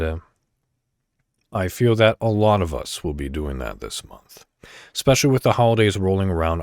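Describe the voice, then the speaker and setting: A man hosting a podcast, speaking in a reflective solo monologue straight to the listener with deliberate, emphatic phrasing. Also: sits low, dry recording, clean studio sound. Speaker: podcast host.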